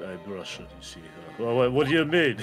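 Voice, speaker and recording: A man's voice: medium pitch, big pitch swings, brisk, lightly acted. A man voicing a shifty, burly fellow who stammers and keeps insisting he is Russian, in a fan dub of a game, with game music behind. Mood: nervous.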